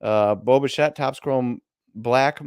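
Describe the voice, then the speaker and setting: A man's voice: medium pitch, slow, darkish tone, clean tone, no echo. A man with a Midwestern American accent, co-hosting a casual live stream and thinking aloud as he browses listings. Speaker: co-host of a card auction stream.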